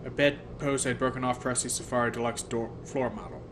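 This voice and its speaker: A man's voice: medium pitch, fairly even intonation, a little fast. Man reading a novel aloud, noisy recording. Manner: stumbles and rereads phrases. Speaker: audiobook reader. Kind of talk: first-person novel narration. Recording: noticeable background noise.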